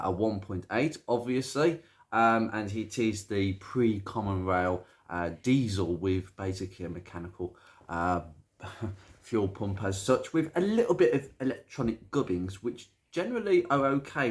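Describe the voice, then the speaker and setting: A British man with a slightly croaky voice from hay fever, presenting an informal car video in a chatty, hesitant way with rambling asides. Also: lowish voice, moderate pace. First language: English. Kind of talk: informal car video commentary, reading out a viewer's message.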